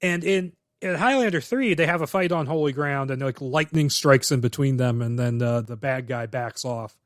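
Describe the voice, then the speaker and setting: A man's voice: medium pitch, normal speed, dry recording, studio quality. A man co-hosting a podcast, recapping a film script plot in detail and giving blunt, opinionated takes with casual swearing. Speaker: podcast co-host.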